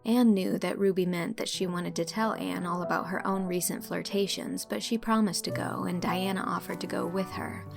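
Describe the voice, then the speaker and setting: Creaky-voiced woman narrating a novel. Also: fairly low pitch, fairly even intonation, moderate pace, hardly any pauses, music in the background. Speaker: audiobook narrator. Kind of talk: expressive scripted literary narration.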